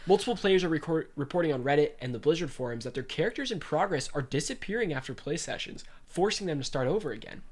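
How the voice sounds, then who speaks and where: A man's voice: on the high side, quick pace, hardly any pauses. Congested young man hosting a gaming podcast. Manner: animated, reads news aloud. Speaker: podcast host. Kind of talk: casual gaming news chat.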